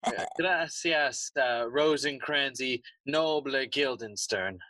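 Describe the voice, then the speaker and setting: A man's voice: medium pitch, slow pace, bright, forceful tone, no echo, low-quality recording. An actor playing a courtly, scheming king with a formal, regal manner in a bilingual Shakespearean audio play. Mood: gracious, curious. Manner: formal, regal, switches between Spanish and English.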